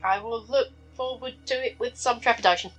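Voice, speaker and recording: A woman's voice: fairly high pitch, a little fast, fairly bright tone, slightly rough, faint music behind, low-quality recording. A woman voicing a nervous, formal visitor who stammers her refusals. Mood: flustered.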